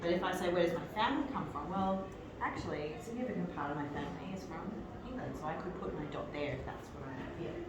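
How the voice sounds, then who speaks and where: A woman's voice: fairly low pitch, fast talker. Patient Australian woman teacher, echoey classroom. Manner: patient, talks through an example step by step. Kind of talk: classroom explanation. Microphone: distant camera mic. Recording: echoey room, distant mic, background noise.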